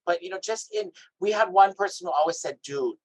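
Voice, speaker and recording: Man with a high, lively voice. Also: brisk, rough recording. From Hawaii. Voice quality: naturally high voice. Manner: lively, emphatic, asks "right?" often. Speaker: health equity trainer. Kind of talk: workshop presentation. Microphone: video call.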